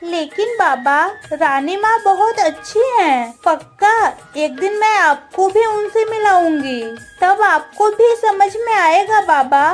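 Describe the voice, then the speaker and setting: A woman's voice: very high voice, animated, slow pace, hardly any pauses, full character voice. A woman voicing an earnest, stubborn, tender-hearted young village girl in a Hindi cartoon story. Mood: earnest pleading. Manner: earnest, childlike. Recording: music under the voice.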